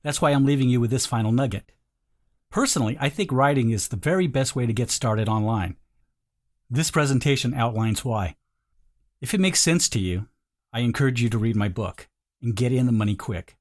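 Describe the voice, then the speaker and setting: Man delivering a scripted sales pitch. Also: lively intonation, brisk. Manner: direct, persuasive second-person address. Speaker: voice-over narrator.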